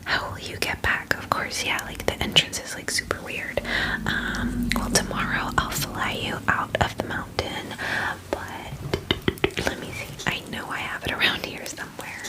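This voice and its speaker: A woman's voice: measured pace, lightly acted, rough recording. A woman voicing a friendly, doting female dragon in a whispered ASMR fantasy roleplay, with gushing, hesitant, filler-heavy chatter. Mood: flustered, eager. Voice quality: breathy whisper.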